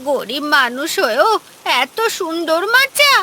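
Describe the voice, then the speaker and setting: A woman voicing a selfish, jealous village wife in an animated moral story, curt and dismissive. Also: high-pitched, unhurried, full character voice. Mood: envious.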